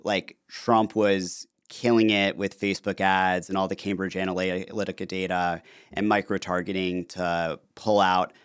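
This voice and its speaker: A man with a very low, smooth and resonant voice, talking through an interview as an easygoing podcast guest. He speaks in quick, animated bursts full of fillers. The sound is dry and close. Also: dry recording. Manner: fast, animated talker with many fillers. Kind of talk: casual interview conversation.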